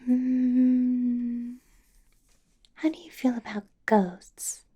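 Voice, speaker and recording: A woman playing a doting, possessive female vampire boss in a whispered ASMR roleplay, breathy, coaxing and intimate. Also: a little high, flat delivery, warm tone, heavily acted. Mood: musing, coaxing. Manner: slow, coaxing, intimate whisper with murmured hums.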